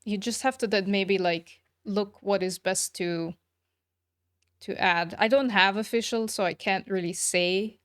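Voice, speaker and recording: A woman's voice: medium pitch, steady tone, a little slow, dry recording, clean studio sound. A woman game streamer chatting casually with her viewers. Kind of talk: casual livestream chat. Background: soft background music.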